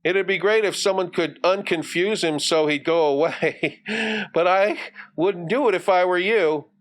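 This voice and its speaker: A man's voice: high voice, a little slow, voice pushed up. A man voicing a glum young boy in a storybook, slyly daring others in a mock-innocent, teasing way. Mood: exasperated, sly. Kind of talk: storybook character voice.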